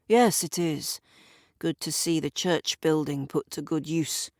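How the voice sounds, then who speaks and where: A woman voicing an earnest, courteous man grieving a friend, measured, in an audio drama. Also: sits low, steady tone, darkish tone, no echo, studio quality. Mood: probing, friendly. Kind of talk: audio drama character dialogue.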